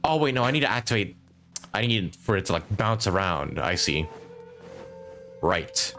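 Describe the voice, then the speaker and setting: A man's voice: low voice, sing-song, moderate pace, bright, forceful tone, faint music behind. A man live-streaming a video game with casual commentary, thinking aloud and chatting with his viewers.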